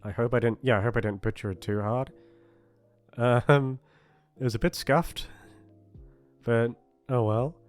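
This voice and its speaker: A man chatting casually and awkwardly to his listeners as a channel host, rambling and self-deprecating, with many halting pauses. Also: medium pitch, faint music behind. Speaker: voice actor hosting his channel. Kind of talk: rambling casual outro chat.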